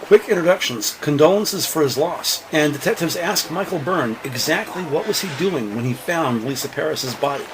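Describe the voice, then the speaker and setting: A man's voice: a little high, animated, normal speed, hardly any pauses, noisy background. A man narrating a scripted crime audio drama in the present tense.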